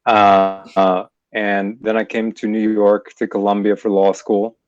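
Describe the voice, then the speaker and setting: A middle-aged man from Pennsylvania, born in Scotland, answering interview questions in a measured, articulate way with frequent fillers. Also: on the low side, little pitch movement, dry recording. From born in Scotland, raised in Pennsylvania. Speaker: mediator and lawyer, interview guest. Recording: dull, dry lo-fi audio.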